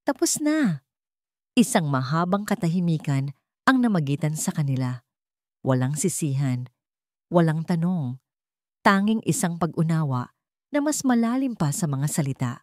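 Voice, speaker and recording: A woman's voice: low-pitched, expressive, moderate pace, long pauses, darkish tone, dry recording, clean studio sound. A woman with a Filipino accent reading a scripted dramatic story as a storyteller.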